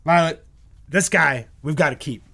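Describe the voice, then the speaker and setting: A man acting an excitable, theatrical 1940s radio producer in a hammy, emphatic comedy style. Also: on the high side, moderate pace, gravelly, a little hiss or hum. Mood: confiding, jovial. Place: live stage performance in a bookstore.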